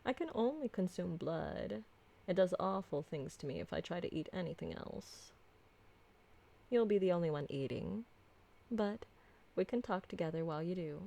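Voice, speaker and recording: Woman acting as ancient female vampire, mellow dark tone, low pitch, soft-spoken, refined, tender, intimate ASMR roleplay. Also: lively intonation, normal speed, gravelly. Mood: doting, gentle. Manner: soft-spoken, genteel, tender, formal wording.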